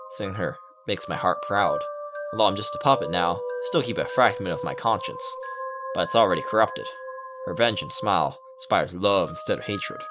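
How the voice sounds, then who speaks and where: A man hosting a gaming video, reading a visual novel aloud with casual commentary. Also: sits low. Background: game music.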